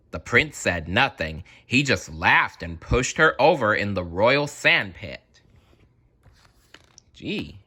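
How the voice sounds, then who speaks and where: A man reading a children's story aloud in an expressive, deliberate way, with casual, chatty asides. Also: on the low side, breathy edge. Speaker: storybook reader.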